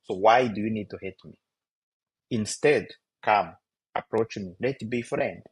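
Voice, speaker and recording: A young man with a Rwandan accent, Kinyarwanda his first language, talking earnestly in a casual discussion and asking rhetorical questions in halting English. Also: fairly low pitch, husky. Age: young adult. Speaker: youth discussion guest.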